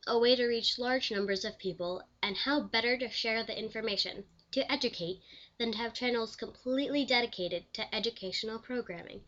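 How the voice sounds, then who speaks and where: A woman playing an elderly, proud pioneering lawyer and commissioner in a scripted interview, speaking formally and deliberately. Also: a little high, fairly bright tone, muffled recording, natural voice. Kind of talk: scripted mock interview, reenacted historical figure.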